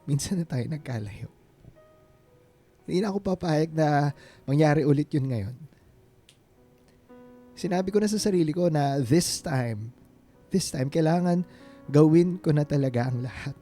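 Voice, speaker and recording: An earnest, mellow Filipino man in love. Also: fairly high pitch, animated, faint music behind. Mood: tender, resolute. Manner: halting, earnest, emotional delivery. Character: devoted, vulnerable man confessing love to his reunited sweetheart. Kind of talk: radio romance drama acting.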